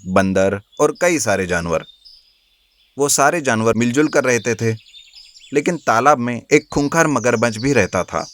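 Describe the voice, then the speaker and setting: A man's voice: medium pitch, fairly bright tone. Guy reading out a kids animal story, ordinary mid-range voice, storyteller style. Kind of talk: scripted children's fable narration.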